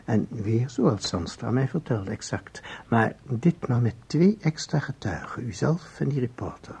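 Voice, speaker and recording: An actor in a radio play voicing an earnest, deliberate parapsychologist with a very husky, breathy voice. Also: male voice, mid-range voice, expressive, a little mellow, full character voice. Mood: earnest, intrigued. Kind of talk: Dutch radio play acting.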